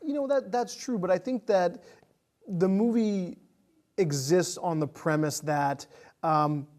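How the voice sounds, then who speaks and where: A man talking casually about movies on a podcast, with a measured, tentative delivery. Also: sits high, darkish tone. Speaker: podcast guest or co-host.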